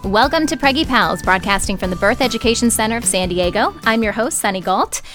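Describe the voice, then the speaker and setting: A woman's voice: on the high side, lively intonation, fairly quick, over music. A woman hosting a podcast, moving between upbeat scripted announcements and casual chat in a studio. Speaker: podcast host.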